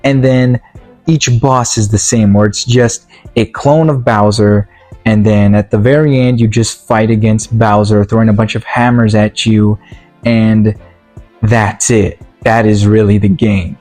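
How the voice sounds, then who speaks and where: A man talks through a casual review in a mellow, soft-edged voice at an ordinary pitch, sounding worn out. He rambles, restarts and leans on fillers as he goes. Faint music plays behind him. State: tired, worn-out voice. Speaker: video reviewer. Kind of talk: casual spoken movie review.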